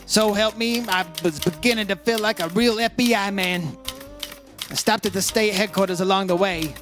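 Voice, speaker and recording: A high-pitched male private investigator played by an actor in old-time radio style. He is brash and shrewd, talking in clipped slang like a man who has the case figured out. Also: natural voice. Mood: wry narrating.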